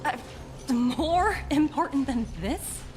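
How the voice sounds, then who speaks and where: A woman's voice: high-pitched, slow. Shrewd, polished young businesswoman. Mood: skeptical. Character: shrewd, self-assured young female corporate envoy. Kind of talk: scripted video game voice acting. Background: game music.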